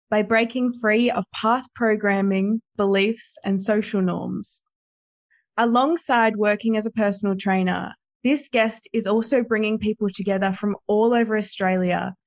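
A woman's voice: medium pitch, no echo. A woman hosting a podcast, chatting in an effusive, enthusiastic and casual way. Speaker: podcast host. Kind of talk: casual interview chat.

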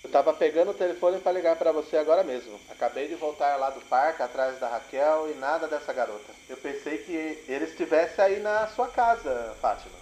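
Worried father played by a man: Brazilian, slightly high male voice, echoey audio drama sound. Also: animated, brisk, hardly any pauses, a little mellow, slight background noise, lightly acted. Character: worried father searching for his daughter. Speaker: voice actor.

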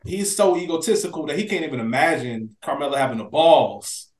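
A man co-hosting a casual TV recap podcast, talking in an animated, slangy way with plenty of swearing. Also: a little high, brisk. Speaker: podcast co-host. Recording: roomy, echoey sound.

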